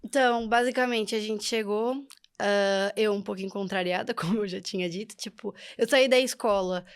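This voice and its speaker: A young woman with a Brazilian accent telling her experiences casually and chattily as a podcast guest. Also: medium pitch. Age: young adult. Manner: chatty, informal, often laughing. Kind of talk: casual conversational storytelling.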